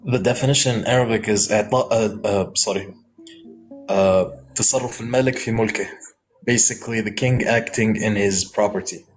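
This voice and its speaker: A man's voice: on the low side, steady tone, fast, soft background music, low-quality recording. A man in a live debate, pressing with probing, insistent questions. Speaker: debate participant.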